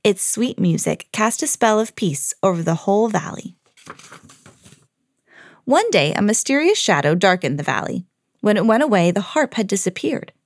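A woman storyteller hosting a podcast, reading a children's fairy tale expressively with clear enunciation. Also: mid-range voice, very animated, moderate pace, dry recording, clean studio sound.

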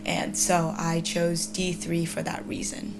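A woman's voice: on the low side, monotone, slow, gravelly, music in the background. A young woman in her twenties, a student athlete whose first language is Mandarin, answering interview questions with many hesitant ums. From China. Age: 20s.

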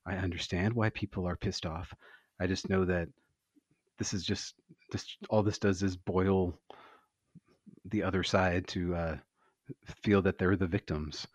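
Man, deep register, dry close sound, laconic, wry delivery, casual podcast chat. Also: steady tone, a little slow, pauses often, no echo. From United States. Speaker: podcast co-host. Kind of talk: casual conversational discussion.

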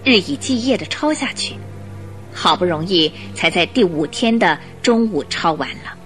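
Woman, radio drama narrator, scripted storytelling, expressive, very varied pitch, soft music behind.

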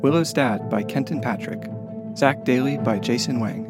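A woman with a low voice reading scripted show credits as one of the writers, music behind. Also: moderate pace. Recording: loud music bed under the voice.

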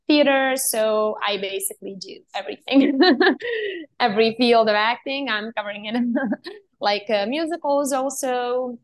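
A young woman from Serbia with a Serbian accent, an actress interviewed over a video call, relaxed and laughing often. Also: medium pitch. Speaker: actress guest.

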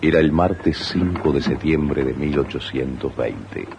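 Solemn, extremely deep-voiced radio narrator. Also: male voice, creaky, highs cut off, slight background noise, full character voice. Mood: grave, elegiac. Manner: solemn, measured. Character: grave, solemn historical narrator of a radio play. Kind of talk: scripted dramatic narration, 1980s radio. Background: loud music.

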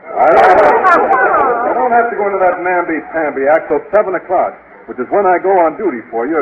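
An actor playing a mild, nervous young man, earnest and awkward even when acting tough, in a 1950s radio sitcom. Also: sits high. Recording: noisy muffled old radio recording.

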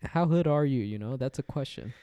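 A man's voice: mid-range voice, lively intonation, a little fast, highs cut off, crowd noise. A young man hosting a casual podcast, joking and teasing his guest. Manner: joking, teasing, laughs often. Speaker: podcast host.

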